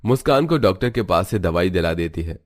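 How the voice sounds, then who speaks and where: Low-pitched man narrating a cartoon story. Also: expressive, brisk.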